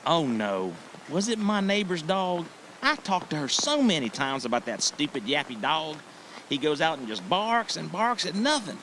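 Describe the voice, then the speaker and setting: A man's voice: on the high side, very animated, moderate pace, fairly bright tone, slight background noise. A man playing a chatty, evasive bed-and-breakfast host in an audio drama. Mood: chirpy, fussy.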